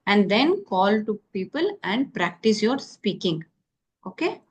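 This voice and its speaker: A woman's voice: medium pitch, big pitch swings, a little slow, husky. A woman from South India, tutoring a beginner over a call and checking in often with "okay?". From Karnataka, South India. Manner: patient, repeats key points with many "okay?" checks. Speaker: English tutor. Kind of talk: phone conversation practice.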